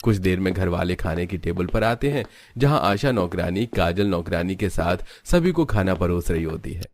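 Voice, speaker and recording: A man's voice: low voice, animated, normal speed, a little mellow. A man reading scripted storybook narration for a cartoon tale.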